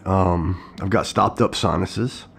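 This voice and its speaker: A middle-aged man with a hoarse throat from a cold, talking casually to camera from his living room, plain-spoken with frequent ums and restarts. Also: deep voice, takes it slowly, fairly bright tone. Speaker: vlogger sharing his health story. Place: living room at home, indoors.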